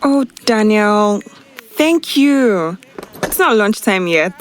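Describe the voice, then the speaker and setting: A woman's voice: medium pitch, lively intonation, takes it slowly, slight background noise. A woman playing a friendly young professional in a modern audio drama. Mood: friendly, playful.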